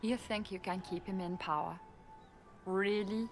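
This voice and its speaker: An actress playing a defiant, cunning elven rebel in a fantasy game, cool and sardonic. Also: female voice, medium pitch, fairly even intonation, measured pace, soft background music, lightly acted. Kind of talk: fantasy video game acting.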